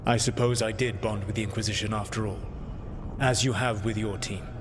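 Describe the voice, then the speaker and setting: A man playing an ancient, proud and regretful elven rebel in a video game, speaking formally and deliberately in a measured, solemn way. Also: on the low side, steady tone, big-room sound, noisy background, natural voice. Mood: wistful. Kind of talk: video game dialogue acting.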